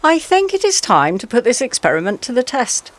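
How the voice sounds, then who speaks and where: A woman playing a sly, flattering predator animal, a fossa, coaxing with mock deference in a radio play. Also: fairly high pitch, a little fast, no echo. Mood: urging, flattering. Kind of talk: radio play character acting.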